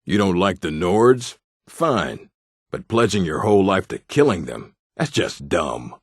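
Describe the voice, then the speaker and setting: A man voicing a gruff, blunt, weary orc with a gravelly, husky voice for a video game. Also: low-pitched, sing-song, unhurried, no room sound, studio quality, full character voice. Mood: gruff, irritated. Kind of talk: video game character acting.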